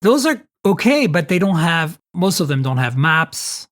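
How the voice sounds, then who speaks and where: A man from Canada, likely in his fifties, hosting a tech podcast in a lively, teasing way and asking open questions. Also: a little high, measured pace. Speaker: podcast host. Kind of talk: casual podcast conversation.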